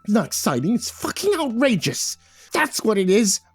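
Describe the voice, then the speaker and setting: A man voicing a tiny, ancient male leprechaun in a storybook, foul-mouthed and cantankerous, shouting and growling indignant insults. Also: mid-range voice, a little fast, breathy edge, no room sound, faint music behind, natural voice. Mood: outraged.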